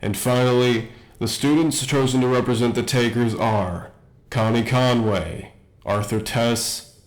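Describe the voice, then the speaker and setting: A man playing an academy principal who makes formal, measured announcements in an audio roleplay. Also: medium pitch, lightly acted, poor audio. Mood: formal, official.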